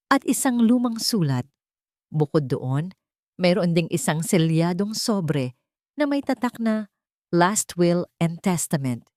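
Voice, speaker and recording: A woman's voice: medium pitch, a little mellow, no echo, studio quality. A woman reading a scripted, sentimental drama story in an earnest storytelling manner. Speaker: story narrator.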